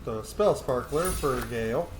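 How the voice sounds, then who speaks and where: A man's voice: mid-range voice, lively intonation, unhurried. Joking male gamer in an echoey room. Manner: informal, joking. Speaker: gamer roleplaying a video game. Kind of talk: casual playthrough commentary. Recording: roomy echo, faint game noise behind.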